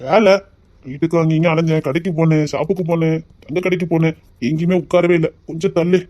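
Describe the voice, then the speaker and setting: A woman's voice: low voice, fairly even intonation, fast, close to an everyday voice. A woman playing a teasing young lover with a lilt. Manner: teasing, then softly tender. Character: young man courting his sweetheart, teasing. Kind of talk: amateur romantic drama.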